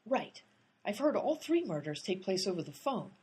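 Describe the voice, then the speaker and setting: A woman playing an impatient amateur detective in a comedy radio play, brisk and exasperated as she works the case. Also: mid-range voice, sing-song, a little mellow, slightly rough.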